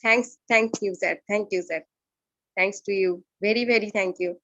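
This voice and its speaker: A woman's voice: mid-range voice, steady tone, normal speed, fairly bright tone, dry recording, highs cut off. A woman from West Bengal with an Indian accent giving a short, polite thank-you speech on a video call. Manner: polite, formal, repeats thanks.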